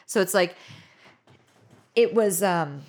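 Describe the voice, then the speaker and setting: Low-voiced woman musician chatting. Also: lively intonation, slow, darkish tone. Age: 30s. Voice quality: low singing voice. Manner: rambling, many fillers like "you know" and "like". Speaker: musician guest. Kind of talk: casual interview chat.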